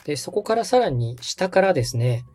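Man, mellow tone, animated pitch, patient tutorial delivery, polite, background music. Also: brisk. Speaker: host and radio director guiding a setup. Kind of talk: conversational step-by-step tutorial.

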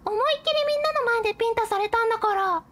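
Woman playing a very high-pitched timid schoolgirl heroine. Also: racing, fairly bright tone, a little hiss or hum. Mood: exasperated. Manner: girlish, polite first-person narration, hesitant dialogue. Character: timid, put-upon teenage schoolgirl heroine, narrating her days. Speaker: lead actor and narrator. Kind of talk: anime-like radio drama acting and narration. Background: background music.

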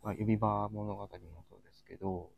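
A man's voice: on the low side, level tone, fairly quick, gravelly, a little mellow, faint music behind. A man co-hosting a casual livestream, introducing topics in a polite, hesitant way. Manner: polite, hesitant with frequent fillers. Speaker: co-host of a group chat livestream.

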